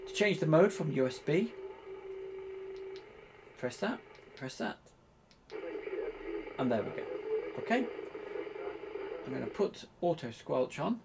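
A man giving an informal hands-on tutorial, explaining step by step in a halting, hesitant way. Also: mid-range voice, vocal fry, noisy background. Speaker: presenter demonstrating radio equipment.

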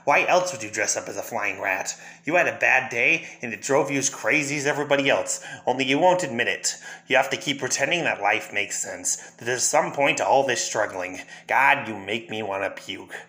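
Crazy clown villain from a comic, bright edgy voice, taunting and erratic. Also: male voice, mid-range voice, expressive, normal speed, few pauses. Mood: taunting, bitter. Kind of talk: fan dramatic reading of a comic.

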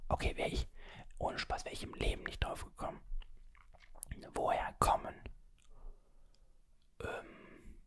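Slow, airy whisperer guessing words. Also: a bit bright. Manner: whispers throughout, guessing words aloud and musing; playful, complains at the game. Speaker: video host playing a word-guessing game. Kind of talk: whispered gaming commentary.

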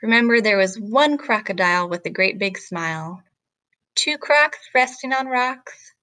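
A woman reads a children's picture book in the manner of a teacher. Her pace is slow and her phrasing rhythmic and expressive, with an average pitch and a dry sound free of room echo. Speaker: teacher reading aloud.

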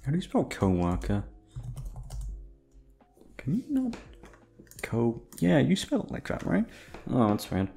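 A man with a deep voice, sleepy, rambling casually to his listeners in an offhand, hesitant, sweary way. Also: wildly swooping pitch, measured pace, creaky, long pauses, warm tone. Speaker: audio creator talking to listeners.

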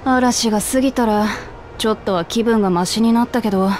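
An actress voicing a brash young catgirl adventurer who talks in a rough, boyish way in a game cutscene. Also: fairly high pitch, a little fast, darkish tone, a little hiss or hum, natural voice. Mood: restless. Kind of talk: Japanese game voice acting.

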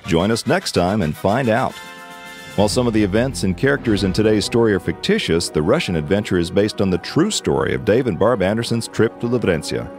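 A man's voice: fairly low pitch, lively intonation, brisk, faint music behind. A man narrating a scripted outro for a family audio drama as its host.

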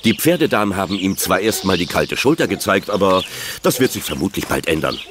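A man's voice: deep voice, big pitch swings, fairly quick, noisy background. An actor playing a friendly, easygoing middle-aged father and vet in a children's audio drama. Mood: relaxed, friendly.

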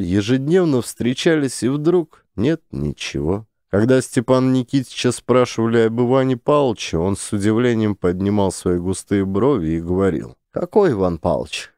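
A male narrator reads a classic story in a measured, storytelling manner. His voice is very low and dark, with a warm mellow tone, and the recording is dry and close with no room sound. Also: dry recording. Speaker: audiobook narrator.

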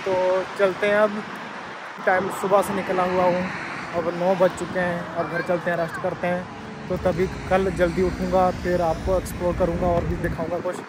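High-voiced man vlogging over street noise. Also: level tone, normal speed. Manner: chatty, loose, repeats himself while pointing things out. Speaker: travel vlogger. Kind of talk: casual walking commentary. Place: outdoors on a city waterfront street. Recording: outdoor traffic noise.

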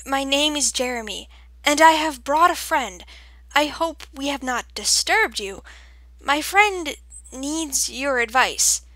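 A woman narrator acts a young male crow in a storybook. He is high-voiced, eager and courteous, addressing his betters with earnest deference. The tone is bright and dry. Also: expressive, slow, no echo, exaggerated voice, low-quality recording. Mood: polite, nervous. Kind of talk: audiobook character voice.

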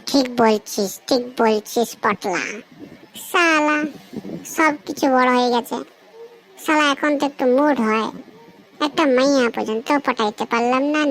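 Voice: mid-range voice, background noise, close to an everyday voice. A performer voicing a girl-hungry, frustrated schoolboy sidekick in a comedy cartoon, with slangy, complaining banter.

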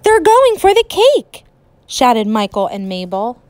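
A woman with a high, very lively voice reads a picture book aloud like a teacher to young children. She goes slowly, with expressive emphasis and dramatic pauses, in a dry, close recording. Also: no echo. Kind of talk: animated children's storybook read-aloud.

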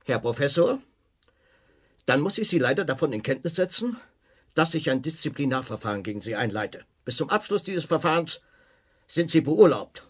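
An actor playing a stern, authoritarian government minister in a German radio drama, formal and officious. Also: male voice, a little high, sing-song, normal speed, husky. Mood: cold, commanding.

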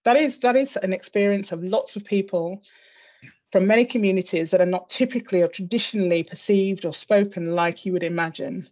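British woman, middle-aged, mid-pitched, measured, thoughtful, interview guest, muffled video-call sound. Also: darkish tone. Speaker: interview guest, senior executive. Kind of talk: reflective personal conversation. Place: indoor room on a video call. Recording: slightly dull video call audio.

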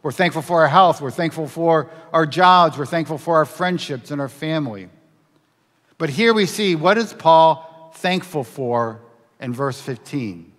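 A middle-aged male pastor preaches from the pulpit in a fairly high voice. He asks earnest questions and presses his points with emphasis. The church livestream recording carries a long reverb from the sanctuary. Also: fairly bright tone. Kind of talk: sermon with scripture reading and prayer.

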